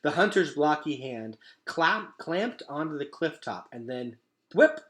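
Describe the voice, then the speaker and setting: A man narrating a children's storybook aloud in a dramatic, emphatic way, dropping to a hush at times. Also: a little high, very animated, unhurried. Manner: dramatic, emphatic delivery with hushed drops.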